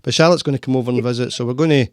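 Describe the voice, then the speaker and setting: A man's voice: medium pitch, racing, a little mellow, dry recording. A Scottish man hosting a casual podcast chat from his studio, rambling with frequent restarts. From Scotland. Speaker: podcast host. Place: home studio.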